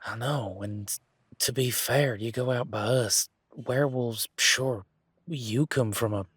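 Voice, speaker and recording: A man playing a laid-back, wry werewolf in an intimate one-sided audio roleplay, talking in a casual, folksy, teasing way with a husky voice. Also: mid-range voice, takes it slowly, dry recording. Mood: admiring, folksy.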